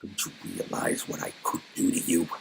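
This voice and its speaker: A man's voice: low voice, sing-song, normal speed, gravelly, noisy background, voice pushed down, exaggerated voice. A man voicing a menacing, cold villain boss who can turn snarling and frantic, in a dramatic game dialogue reading. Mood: seething anger.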